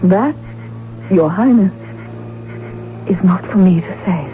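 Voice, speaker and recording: An actress playing a witty, outspoken countess with a formal, precise manner, in the style of radio drama. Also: female voice, lowish voice, slow. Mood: guarded, formal. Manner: formal, arch, precise diction. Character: witty, outspoken countess from an impoverished noble house. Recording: muffled old radio broadcast, music behind. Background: music.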